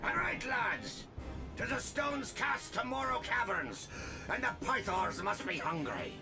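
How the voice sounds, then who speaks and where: A man's voice: very high voice, big pitch swings, unhurried, over music, heavily acted. A man voicing a menacing crew leader in a video game, sneering. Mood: commanding. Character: menacing, swaggering male space pirate crew leader.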